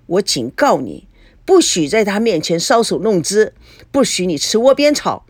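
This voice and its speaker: A woman's voice: mid-range voice, big pitch swings, moderate pace, no echo, clean studio sound. A woman voicing a foul-tempered, suspicious mother in a story, scolding with coarse sarcasm. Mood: sour, accusing.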